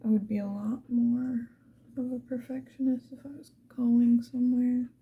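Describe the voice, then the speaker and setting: A woman's voice: fairly high pitch, steady tone, normal speed, breathy edge, phone-quality sound. A woman whispering breathily in a casual, rambling ASMR style, unhurriedly thinking aloud. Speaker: ASMR video creator.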